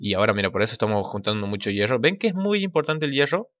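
Low-voiced man doing muffled gaming commentary. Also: very animated, racing, a bit bright, no room sound. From Argentina. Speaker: gaming video creator. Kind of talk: casual let's play commentary. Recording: muffled lo-fi mic, clear noise and clicks.

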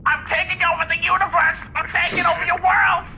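A woman's voice: very high voice, little pitch movement, a little fast, gravelly, sharp tone, heavily acted. A woman voicing a manic, boastful male supervillain declaiming through a broadcast filter in a comic game fan dub. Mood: gloating. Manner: bragging, repetitive declaiming. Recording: dull, very noisy broadcast-like sound.

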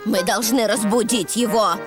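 A woman's voice: mid-range voice, steady tone, moderate pace, lightly acted. A woman voicing a kindly, practical older grandma pig in a children's cartoon. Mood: practical, urging. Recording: loud music behind the voice.

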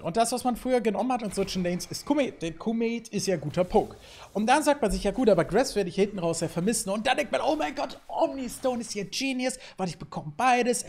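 Lively German gamer with animated voice. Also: male voice, sits high. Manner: lively, excitable, talks through play with fillers. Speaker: gaming YouTuber. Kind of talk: gameplay commentary. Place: home streaming setup, indoors.

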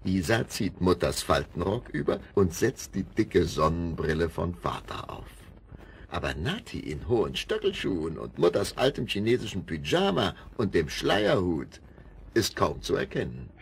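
Guy narrating a kids story, gravelly, swoops up and down a lot, bit of hiss. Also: low-pitched, huge pitch swings, normal speed. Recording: vinyl rip with hiss.

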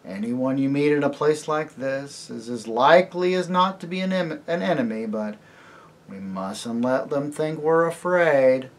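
A woman's voice: extremely low pitch, lively intonation, measured pace. A woman voicing a gloomy, cautious marsh creature who speaks stiffly, bluntly and on his guard. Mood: wary.

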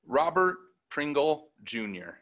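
Man, ceremonial name announcer, bright tone, animated intonation, medium pitch, formal, measured short phrases. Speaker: announcer reading graduates' names.

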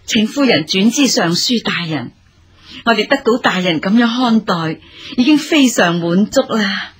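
An actress playing a humble, grateful elderly mother in a radio drama, husky and deferential. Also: medium pitch, expressive, unhurried. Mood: humble, courteous. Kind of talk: Cantonese radio drama acting.

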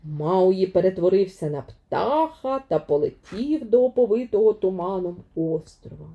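A woman narrating a fairy tale for children, expressively and dramatically, with deliberate emphasis. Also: medium pitch, expressive, highs cut off. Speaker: children's storyteller.

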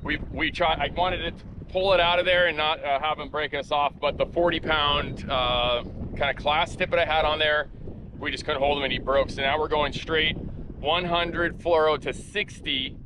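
A man in his thirties, outdoors on a boat, casually explaining his fly fishing into an action camera near his face, chatty with fillers and restarts and bursting into excited exclamations. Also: a little high, moderate pace, noisy background. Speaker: fly fishing angler and host. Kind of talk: casual on-the-water vlog narration. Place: outdoors on a boat in open water. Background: music.

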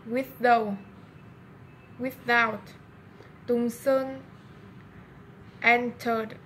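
A young woman with a Vietnamese accent practices English words very slowly, one at a time, repeating the hard sounds. Her voice sits somewhat high and is caught by a phone held close in a small bathroom with a faint hiss behind her. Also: a bit bright. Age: young adult. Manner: slow, word-by-word practice with stumbles. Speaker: language student. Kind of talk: reading pronunciation homework aloud. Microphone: webcam or phone at arm's length.

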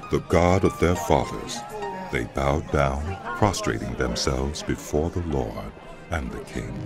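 A man narrating a dramatized scripture audiobook in a measured, stately storytelling manner. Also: very deep voice. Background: music.

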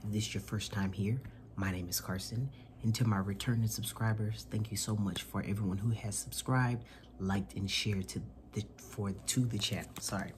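A man, hosting a children's storytime and reading aloud in an expressive, deliberate way. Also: on the low side, slight background noise. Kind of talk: read-aloud children's picture book. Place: small indoor room at home.

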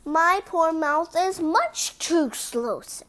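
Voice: extremely high pitch, expressive, slow pace, fairly bright tone, exaggerated voice. A reader voicing an exasperated male furry creature from a storybook, whiny and protesting. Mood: complaining.

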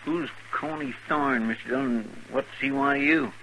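An actor playing an eager, simple, anxious frontier sidekick with a folksy drawl in a 1950s radio western. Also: male voice, medium pitch, moderate pace, bright, forceful tone, lightly acted. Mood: anxious, curious. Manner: folksy, questioning, ungrammatical drawl. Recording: noisy old radio broadcast recording.

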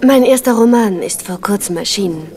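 A woman's voice: mid-range voice, expressive, a little fast, dark tone, lightly acted. An actress as a forward, admiring young female novelist. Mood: coaxing. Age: young adult. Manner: eager, direct, coquettish. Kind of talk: 1970 German radio crime drama acting.

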